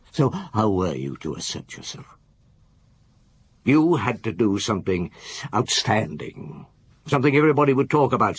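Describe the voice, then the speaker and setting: An actor playing a shrewd, relentless police chief inspector in a radio drama, probing and measured as he interrogates. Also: lowish voice, breathy edge. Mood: probing, scornful, then accusing. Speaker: actor in lead detective role.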